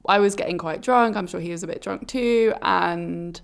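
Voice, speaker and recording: A woman's voice: lowish voice, moderate pace, gravelly, clean tone. A woman co-hosting a podcast with a slightly phlegmy, under-the-weather voice, telling personal stories in a casual, candid, self-deprecating way. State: slightly ill, phlegmy throat. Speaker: podcast co-host. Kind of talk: casual confessional storytelling and chat.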